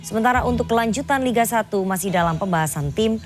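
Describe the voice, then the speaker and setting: A woman's voice: on the high side, lively intonation, fast talker, faint music behind. A woman reading scripted news as a television anchor.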